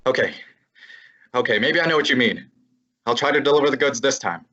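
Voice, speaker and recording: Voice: medium pitch, animated, fairly quick, a crowd in the background, natural voice. A young actor voicing a wisecracking male assistant detective in an old-time radio drama, with a brash, slangy delivery. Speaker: student actor. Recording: slightly dull lo-fi sound.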